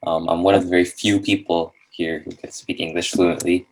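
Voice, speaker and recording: A man from Indonesia, whose first language is Bahasa Indonesia, hosts a relaxed interview. He mostly answers with short, encouraging replies in a very deep voice. He speaks over a video call. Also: fairly quick, poor audio. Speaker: host. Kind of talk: casual interview chat.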